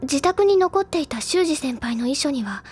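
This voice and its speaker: A woman playing an earnest, somewhat childish high-school girl of a literary bent in an audio drama. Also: lively intonation, moderate pace, music in the background. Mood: serious, probing. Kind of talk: anime audio drama dialogue.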